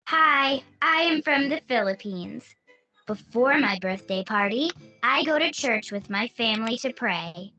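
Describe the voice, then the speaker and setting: A woman narrating a children's video in a slow, sing-song reading voice. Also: a little high, a bit bright, breathy edge.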